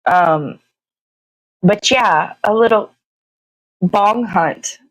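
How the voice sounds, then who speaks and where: A woman's voice: mid-range voice, extremely slow, no room sound, rough recording. A woman hosting a casual livestream, chatting in a meandering way, often searching for words and swearing casually. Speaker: live show host. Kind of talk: casual rambling livestream chat.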